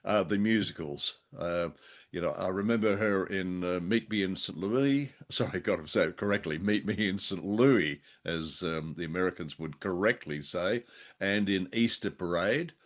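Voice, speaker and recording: A man's voice: low voice, normal speed. A man co-hosting a conversational discussion show, speaking hesitantly with wry humour. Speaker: podcast co-host. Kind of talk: conversational discussion of old radio drama.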